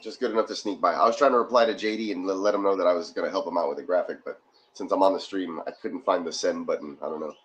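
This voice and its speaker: Low-voiced laughing man on a stream. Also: racing, a little hiss or hum. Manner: laughs often, rambling and chatty. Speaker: watch party co-host. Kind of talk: casual livestream chat. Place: garage. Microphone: phone.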